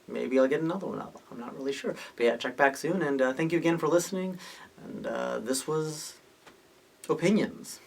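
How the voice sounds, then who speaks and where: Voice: medium pitch, normal speed, vocal fry. A podcast host giving a casual, unscripted opinion monologue, rambling and thinking aloud with rhetorical questions. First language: Hungarian or English, multilingual.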